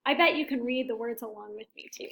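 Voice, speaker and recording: High-voiced woman teacher reading to kids. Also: quick pace, creaky. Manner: expressive, questioning, coaxing listeners to join in. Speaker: teacher reading aloud to children. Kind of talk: interactive picture-book read-aloud for young children.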